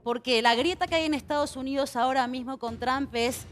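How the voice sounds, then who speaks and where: A woman's voice: high-pitched, a little hiss or hum. A woman from La Plata with an Argentine accent, a guest speaking earnestly on a television debate panel. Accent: Argentine Spanish. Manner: earnest, measured, speaks to the audience. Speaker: studio guest. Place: television studio.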